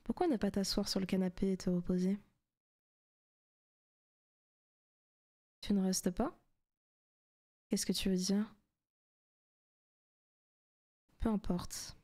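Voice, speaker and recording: Soft, teasing demon girlfriend acted by a woman. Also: mid-range voice, fairly even intonation, normal speed, natural voice. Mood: coaxing, teasing. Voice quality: soft, often breaking into whispers. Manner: teasing, coaxing, possessive. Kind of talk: intimate ASMR roleplay.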